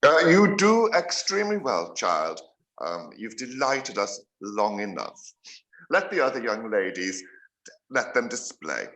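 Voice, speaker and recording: Man playing a dry, low-voiced older gentleman. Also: sing-song, unhurried, full character voice, low-quality recording. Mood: awkward, dismissive. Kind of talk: period radio play acting.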